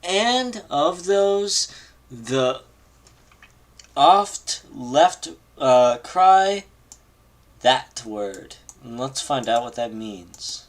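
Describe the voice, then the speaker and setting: A man reading a horror story aloud informally on a live stream, halting and stumbling over long words. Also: mid-range voice, big pitch swings. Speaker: amateur reader on a live read-along stream.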